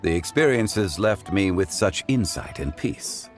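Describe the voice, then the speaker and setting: An actor playing a calm, ancient male consultant who speaks in a formal, measured and courteous way, in a video game. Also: sits low, faint music behind. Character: ancient, composed male consultant of refined taste.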